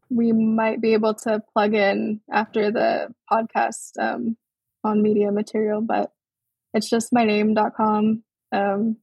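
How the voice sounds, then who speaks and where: A young adult woman from Hawaii, a guest artist speaking in a relaxed, thoughtful way with many pauses and restarts. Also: mid-range voice, gravelly, slightly rough, no echo, low-quality recording. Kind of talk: casual interview over a call.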